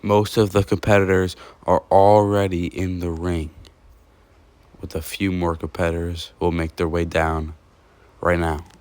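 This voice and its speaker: A man's voice: low-pitched, little pitch movement, unhurried, a little mellow, dry recording. A man doing pro wrestling commentary and introductions, drawing out his phrases as he announces.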